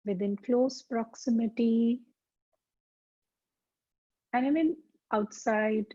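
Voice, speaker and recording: A woman teaching yoga as a slow guided meditation, speaking calmly and deliberately with soothing pauses. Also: fairly high pitch. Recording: dull, muffled lo-fi sound.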